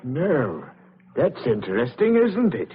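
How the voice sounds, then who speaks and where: An actor playing a polite, plodding village constable in a 1940s radio thriller, understated and inquisitive. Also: male voice, mid-range voice, wildly swooping pitch, slow pace. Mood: dry, suspicious. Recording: dull, noisy old-time radio broadcast.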